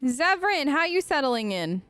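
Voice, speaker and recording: High-voiced chatty woman gamer. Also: sing-song, unhurried, fairly bright tone, no room sound, soft background music. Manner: chatty, conversational, reacts with questions. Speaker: let's play host. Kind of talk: improvised in-character role-play commentary.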